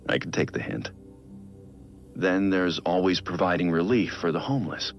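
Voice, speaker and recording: Super deep weary brooding vigilante for a video game, gravelly creaky voice, measured and introspective, dull lofi log with faint music. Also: male voice. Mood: weary, self-reflective. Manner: deliberate, understated. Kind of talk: video game audio log, reflective monologue.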